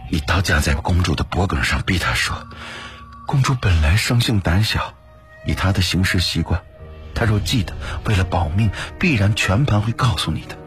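A man playing a weakened but composed male captive in an audio drama, reasoning in a mild, measured way. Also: low-pitched, animated, soft background music. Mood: calm reasoning.

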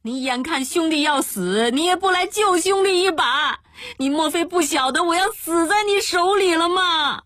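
A woman playing a desperate, high-wailing addict father. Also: very animated, slow, dry recording, heavily acted. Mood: agonized wailing. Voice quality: hoarse, strained. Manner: wailing, pleading, shouting. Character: desperate opium-addicted father begging and cursing in withdrawal.

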